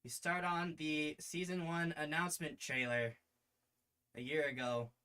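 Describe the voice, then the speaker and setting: A man hosting a livestream read-along in a casual, halting, self-deprecating way. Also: a little high, steady tone, sharp tone, clear, resonant tone. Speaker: streamer hosting a live reading of their own series.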